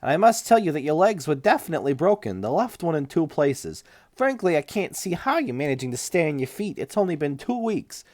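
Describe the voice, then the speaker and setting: A man's voice: a little high, sing-song, a little fast, darkish tone, no room sound, clean studio sound, pitched above the performer's own voice. A man voicing a nervous, indignant hospital director in an audiobook, prim and exasperated in manner. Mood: flustered. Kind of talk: audiobook character voice.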